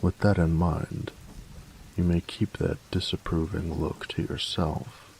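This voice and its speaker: A man playing a grumpy, workaholic artificer in an audio roleplay, tired and reserved, speaking formally and precisely with hesitant pauses in a gravelly voice. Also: little pitch movement, darkish tone, a little hiss or hum. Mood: stiff, formal. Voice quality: gravelly, very low voice. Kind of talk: audio roleplay addressed to the listener.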